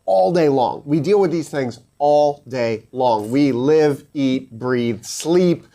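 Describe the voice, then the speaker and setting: A man from New Jersey co-hosting a podcast, chatting casually in an animated, rambling way with lots of fillers. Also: mid-range voice, slow. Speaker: podcast co-host. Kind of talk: casual chat.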